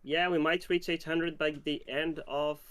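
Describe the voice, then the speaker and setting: A man's voice: fairly high pitch, little pitch movement, a little slow, fairly bright tone, smooth. A man from Morocco hosting a casual question-and-answer livestream for a game publishing team. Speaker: stream host and game publisher team member.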